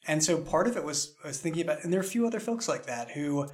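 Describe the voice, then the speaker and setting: Male host, fairly high voice, informal and rambling, with a jokey streak. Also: lively intonation, fast talker. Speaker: show creator and host. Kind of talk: casual roundtable chat.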